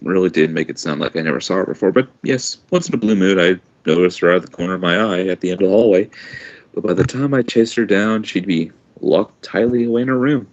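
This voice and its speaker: A man reading a first-person story aloud informally, halting now and then with loose asides. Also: deep voice, a little mellow. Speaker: amateur fan fiction reader. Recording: dull lo-fi home recording.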